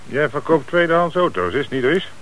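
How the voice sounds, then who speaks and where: A man's voice: on the high side, sing-song, fairly quick, fairly bright tone. A man acting in a radio play as a stern, dry, businesslike police commissioner, speaking with insistent authority. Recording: hissy old radio play recording.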